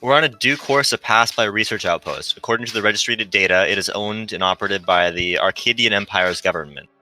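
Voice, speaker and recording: Man reading as earnest, crisp-edged android woman pilot. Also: on the low side, fast, pushed and bright, dry recording, soft background music, rough recording. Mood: businesslike. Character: earnest female android ship pilot, gentle, newly self-aware. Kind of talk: visual novel read-aloud.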